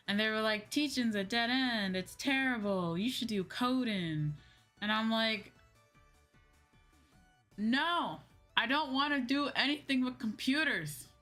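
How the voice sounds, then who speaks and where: A woman's voice: fairly high pitch, unhurried, a bit bright. A young woman streamer chatting casually on a livestream, rambling and repeating her phrases for emphasis. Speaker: VTuber streamer. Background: background music.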